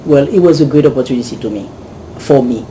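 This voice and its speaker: A Haitian-born man talks eagerly at a middle pitch, often restarting his sentences. A steady air-conditioning hiss sits clearly under his voice. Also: quick pace, rough recording. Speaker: volunteer doctor. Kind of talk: recounting experience to camera.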